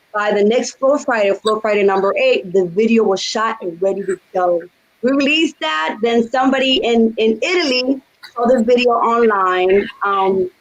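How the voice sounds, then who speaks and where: A young woman from the Dominican Republic, chatty and animated, talking as a guest on a casual video-call interview from her home studio. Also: fairly high pitch, muffled recording. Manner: animated, gestures with her hands while talking; chatty, laughs between stories. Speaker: guest singer.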